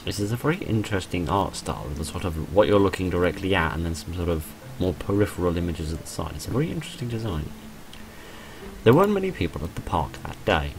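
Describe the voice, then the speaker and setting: Man, low voice, lively swooping intonation, game playthrough narration, faint background noise. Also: quick pace, poor audio. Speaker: host of a visual novel playthrough. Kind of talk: let's play narration, reading story text aloud with asides.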